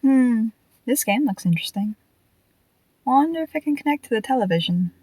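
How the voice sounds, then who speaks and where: A woman playing a curious, gentle male marionette spirit in an audio drama. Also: a little high, lively intonation, normal speed, slightly rough, no echo, rough recording.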